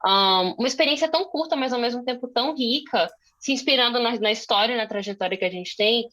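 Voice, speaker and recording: Young brazilian woman on a video call, bright voice, lots of fillers and restarts. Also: a little high, fast talker. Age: young adult. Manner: chatty, thinks aloud with many fillers and restarts. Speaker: moderator. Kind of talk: casual conversation over video call.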